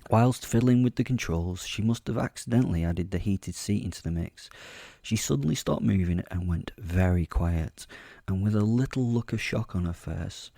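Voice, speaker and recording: Male first-person narrator for dark fiction: very low, dark timbre, wry and confiding, close dry recording. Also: moderate pace, vocal fry, dry recording. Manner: wry, confiding, rambling asides. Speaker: narrator and host of a fiction podcast. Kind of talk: first-person horror drama narration, told in character.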